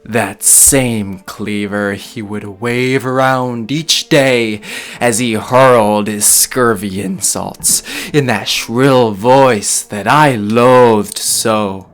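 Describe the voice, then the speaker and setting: A man's voice: fairly low pitch, extremely slow, fairly bright tone, smooth. A man playing a deranged, grandiose murderer in a theatrical gothic monologue, speaking in pompous, melodramatic, archaic phrases. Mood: brooding, bitter. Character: deranged, grandiose sandwich-shop murderer confessing his crime. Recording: faint music behind the voice.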